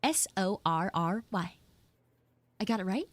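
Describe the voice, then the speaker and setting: A woman voicing a flustered, grudging tsundere frog girl in a live audio roleplay, speaking casually with halting stammers. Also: medium pitch, animated, no echo. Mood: awkwardly apologetic. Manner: halting, stammering, drawn-out delivery.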